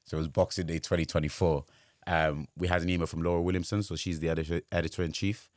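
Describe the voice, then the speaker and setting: A man's voice: sits low, flat delivery, quick pace, no echo, studio quality. A man, a guest telling his career story in casual chat, rambling with lots of fillers. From Wales. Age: 20s. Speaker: guest, sports journalist.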